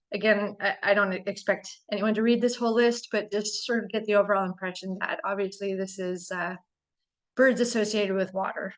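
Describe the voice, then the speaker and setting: A woman from North America giving a casual slide talk on birding. She is losing her voice, keeps clearing her throat and fills pauses with ums, but stays chatty and enthusiastic. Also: medium pitch, a bit bright, low-quality recording.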